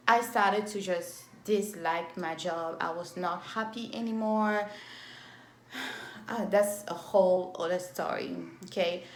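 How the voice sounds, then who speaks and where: A woman in her thirties from Cameroon whose first language is French. She speaks English with a strong Cameroonian French accent, slowly and deliberately, in a casual vlog recorded on her phone. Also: medium pitch, a little hiss or hum. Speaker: event planner and entrepreneur. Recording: echoey room on a phone.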